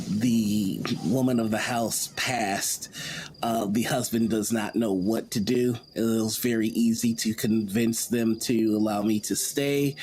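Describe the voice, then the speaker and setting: A tabletop game player casually improvising an account of their character, with hesitant, halting speech. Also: mid-range voice, darkish tone, a little hiss or hum.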